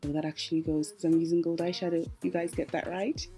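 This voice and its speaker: A woman's voice: on the low side, flat delivery, quick pace. A woman giving a casual makeup tutorial voice-over as host, chatty and explanatory, speaking directly to her viewers. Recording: music playing behind the voice. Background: background music.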